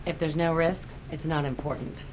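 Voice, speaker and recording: An actress playing a cool, self-assured photojournalist in a video game cutscene, dry, sardonic and a little flirtatious. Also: female voice, low-pitched, fairly even intonation, moderate pace, background noise, natural voice. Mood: dry, confident. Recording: music behind the voice.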